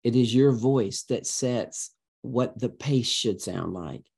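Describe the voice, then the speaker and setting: A man giving conversational interview answers as a guest educator, expressive and emphatic like a storyteller, acting out his examples. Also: medium pitch, slow pace, gravelly, slightly rough.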